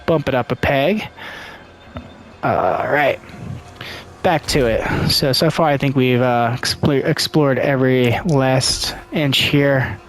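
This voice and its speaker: Soft-spoken man thinking aloud over gameplay. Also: medium pitch, slow, faint music behind. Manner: rambling, thinking aloud with many fillers. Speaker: let's play commentator. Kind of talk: casual gameplay commentary. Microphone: desktop microphone.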